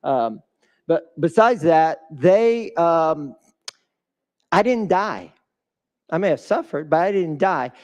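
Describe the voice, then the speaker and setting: A man's voice: on the high side, lively intonation, takes it slowly, pauses often. A man giving a live motivational fundraiser speech as a guest speaker, emphatic and putting questions to the audience. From a developing country.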